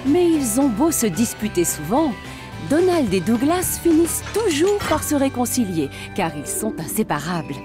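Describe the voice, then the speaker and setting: A woman's voice: on the high side, big pitch swings, normal speed, over music. A woman narrating a children's story in a scripted storytelling style.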